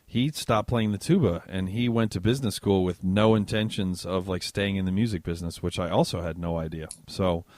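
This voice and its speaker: Male podcast host, low register, dry close-mic delivery, playful and loose. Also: brisk, few pauses, no echo. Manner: joking, relaxed, many fillers. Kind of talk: casual interview chat.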